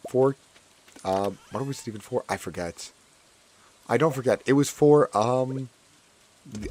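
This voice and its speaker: A man with a hoarse, cracking voice chatting casually while playing a farming game, often repeating his own phrases. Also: fairly low pitch, a little hiss or hum. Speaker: gaming streamer. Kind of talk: casual gameplay commentary.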